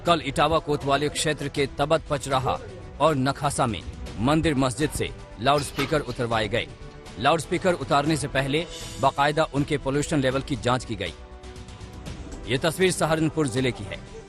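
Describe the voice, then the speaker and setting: A man reporting a news story in a steady, formal voice-over. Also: mid-range voice. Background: faint music bed.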